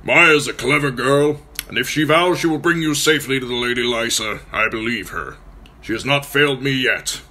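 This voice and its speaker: A man voicing a gruff, barrel-chested lord in an audiobook, speaking formally. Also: mid-range voice, moderate pace, bright, forceful tone, voice pushed up. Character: gruff massive middle-aged lord, stiff.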